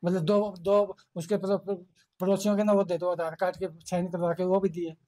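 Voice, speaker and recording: A man's voice: high voice, little pitch movement, a little fast, dark tone. An older man giving an unscripted news interview, talking colloquially and repetitively as he protests. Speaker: father interviewed by reporters.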